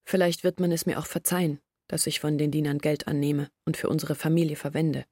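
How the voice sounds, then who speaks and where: Earnest village woman, literary radio drama, measured and candid, lower register, close dry recording. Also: fairly even intonation, darkish tone, dry recording. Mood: candid confessing. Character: earnest village woman confessing her family's struggle.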